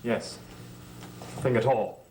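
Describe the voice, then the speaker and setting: An actor as a sardonic young gothic heir. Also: male voice, medium pitch, takes it slowly, noisy background, natural voice. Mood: intense, wooing. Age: young adult. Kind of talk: 1960s-70s gothic TV soap acting.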